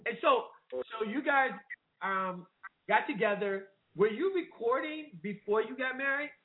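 A man from Washington, D.C., hosting a casual radio interview, chatty and quick with interjections. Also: very high-pitched. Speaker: radio host. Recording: noisy phone-like line.

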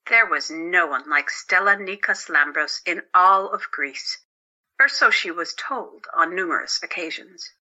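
A woman's voice: fairly low pitch, unhurried, bright, forceful tone, muffled recording. A woman narrating a scripted dramatic story as an audio drama narrator. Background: music.

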